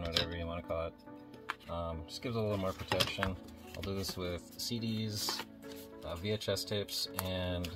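A man with a notably low voice talks through a how-to vlog. He is casual and matter-of-fact, wandering a little as he explains. Music plays clearly behind his voice. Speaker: online reseller hosting a shipping vlog. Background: background music.

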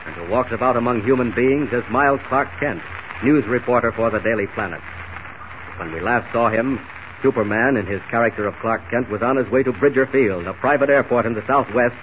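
A male announcer narrates an old radio serial with dramatic, declamatory delivery. His pitch is mid-range, and the 1940s recording is very narrow and tinny, with light noise.

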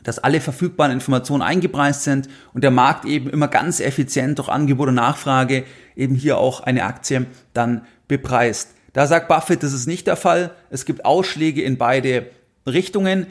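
A man's voice: mid-range voice, normal speed. A man hosting a finance podcast, explaining in a monologue and repeating phrases to drive points home.

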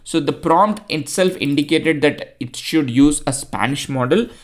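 A man's voice: fairly high pitch, a little slow. Indian man talking through a tutorial. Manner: chatty, many fillers and restarts. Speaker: tutorial host. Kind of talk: screen-recorded coding tutorial.

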